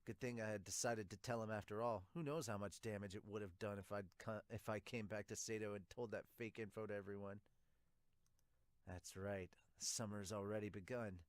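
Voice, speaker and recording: Man voicing a low, subdued young ballplayer. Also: steady tone, clean tone, no echo, natural voice. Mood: quietly resolute. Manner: subdued, measured. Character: calm, earnest young male baseball player, quietly resolute.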